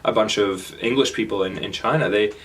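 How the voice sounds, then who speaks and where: Young Canadian man, Canadian accent, lowish voice, loose stage talk full of ums and restarts. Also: fairly bright tone, a little hiss or hum, poor audio. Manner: casual, halting, many fillers and restarts. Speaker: speaker. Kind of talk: public talk.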